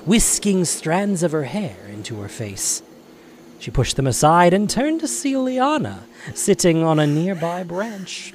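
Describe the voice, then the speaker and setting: A man's voice: mid-range voice, darkish tone, a little hiss or hum. A man narrating an audio drama, reading scripted prose in a dramatic storytelling style.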